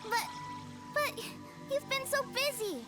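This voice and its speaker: A woman's voice: extremely high pitch, slow, music in the background. A woman voicing an eager, innocent little boy, an adoring younger brother in a video game, with a childlike voice. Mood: pleading. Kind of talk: video game character acting.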